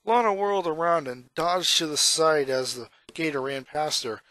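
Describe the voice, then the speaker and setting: A man reading a story aloud as an expressive amateur narrator. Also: on the high side, moderate pace, dry recording. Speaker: narrator reading fan fiction.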